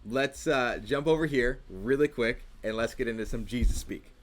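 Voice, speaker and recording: A young man co-hosting a church youth show, running a movie quiz in a relaxed, teasing, slangy way. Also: medium pitch, normal speed, music in the background. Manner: laid-back, teasing, slangy. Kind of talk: casual chat, quiz hosting.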